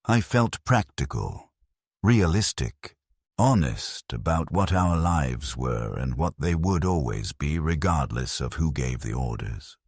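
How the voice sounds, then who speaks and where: A man narrating a scripted dramatic science fiction story in a somber, resigned manner, with a gravelly, husky voice. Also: a bass voice, animated, slow, a little mellow, no room sound, clean studio sound.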